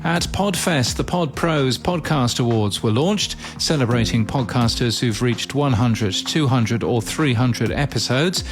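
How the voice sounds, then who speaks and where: A man presenting a scripted podcast news bulletin in crisp newsreader phrasing with wry touches. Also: medium pitch, measured pace, hardly any pauses. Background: background music.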